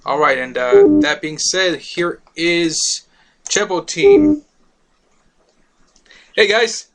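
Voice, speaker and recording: A man's voice: high-pitched, huge pitch swings, very slow, soft background music. A man from Miami hosting a casual talk radio show, rambling with many fillers.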